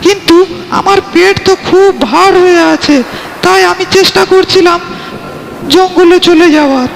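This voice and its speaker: A sly cartoon fox, with an extremely high, squeaky pitch. The voice is creaky and wheedling, full of boastful chatter, over a noticeably noisy recording. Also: male voice, a little slow, heavily acted. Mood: sly boasting. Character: sly, boastful fox nephew telling tall tales.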